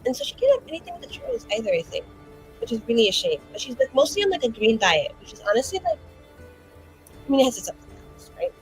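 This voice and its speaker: Chatty, rambling woman player, lively pitch. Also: fast, soft background music, rough recording. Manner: rambling, chatty, filler-heavy with frequent "like". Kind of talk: in-character roleplay.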